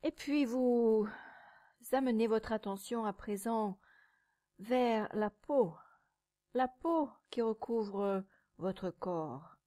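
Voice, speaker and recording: A woman teaching yoga nidra, guiding a meditation in a calm, measured, soothing way, with a soft, sometimes breathy voice and pauses between short phrases. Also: fairly high pitch. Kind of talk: guided meditation.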